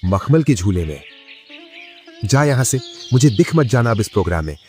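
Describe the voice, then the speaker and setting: A man playing a rich, snobbish, scornful elder brother in an animated moral story, speaking haughtily. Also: medium pitch, moderate pace, soft background music, close to an everyday voice. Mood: scornful, angry.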